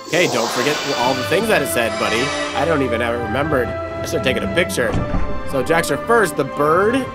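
A man's voice: mid-range voice, animated, quick pace. A middle-aged man in a casual let's play, coaching as a father does, prompting with leading questions. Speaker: father co-hosting a kids' gaming series. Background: prominent game music.

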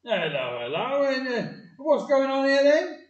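A man's voice: extremely high pitch, sing-song, unhurried, heavily acted. A man playing a nosy, blustering policeman on patrol in a community audio play. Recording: echoey, muffled, far from mic.

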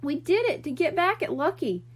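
Woman as an earnest, very high-voiced little boy. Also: big pitch swings, normal speed. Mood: apologetic. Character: earnest, worried little boys confessing mischief.

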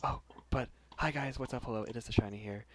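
A young man hosting a casual game session with friends, speaking quietly as he tries to whisper. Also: mid-range voice, fast, a little hiss or hum. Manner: hushed, trying to whisper. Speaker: channel host. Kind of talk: casual gameplay chat with friends. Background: loud keyboard and mouse clicks.

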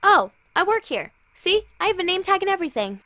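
Brazen, indignant woman for audio drama actress; high, animated, dry recording. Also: fairly quick, no echo, exaggerated voice.

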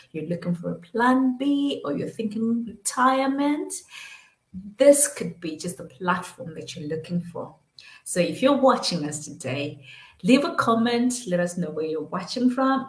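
A woman in her forties hosting a live online interview, greeting viewers with upbeat, drawn-out welcomes over a webcam. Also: medium pitch. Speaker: host. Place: small room at home. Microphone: webcam on a video call. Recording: echoey room.

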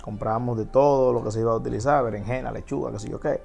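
A man in his thirties with a Dominican accent, a DJ guest chatting casually about his life in a television studio interview, relaxed and prone to restarts. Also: mid-range voice, quick pace, gravelly, faint music behind. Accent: Dominican Spanish. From Dominican Republic. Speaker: guest DJ and TV host. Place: TV studio set.

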